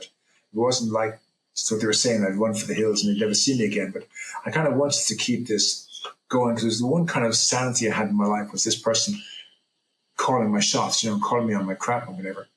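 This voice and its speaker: A man's voice: lowish voice, fairly bright tone, roomy sound, slight background noise, rough recording. A middle-aged man from Ireland, telling his own story as a guest on a video call, rambling and correcting himself earnestly. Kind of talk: personal testimony in an interview.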